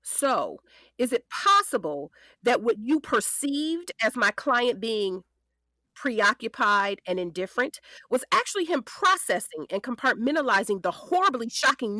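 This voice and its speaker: A determined female defense lawyer in a courtroom drama. Her voice is fairly high and very animated, in a dry, close recording. She questions with pointed, composed emphasis. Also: no echo, exaggerated voice. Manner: deliberate, emphatic cross-examining. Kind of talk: courtroom audio drama acting.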